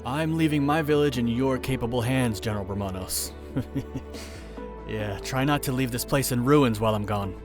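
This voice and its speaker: An actor playing a smug village chief in an audio drama, pleasant on the surface and condescending underneath. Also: male voice, mid-range voice, normal speed, lightly acted. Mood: gloating. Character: smug, falsely warm village chief, portly man. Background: music.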